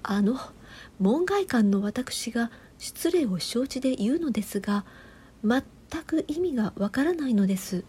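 High-pitched, earnest reporter, played by a woman. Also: expressive, mellow, husky, heavily acted. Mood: puzzled. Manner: polite, measured interviewer delivery. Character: polite, earnest female TV reporter interviewing a professor. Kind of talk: comedic audio drama acting.

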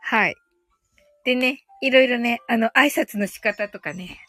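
A woman's voice: on the high side, unhurried, bright, forceful tone, faint music behind. A woman hosting a casual, chatty livestream English lesson, often giggling as she talks. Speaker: livestream host teaching English phrases. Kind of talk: casual chatty livestream, reading listeners' comments.

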